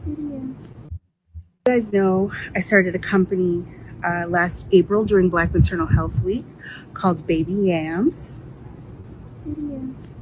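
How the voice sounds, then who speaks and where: A woman's voice: mid-range voice, animated, a little slow, pauses often, a little mellow, a little hiss or hum, poor audio. A woman business founder giving an earnest, encouraging, faith-filled message. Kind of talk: heartfelt direct-to-camera message.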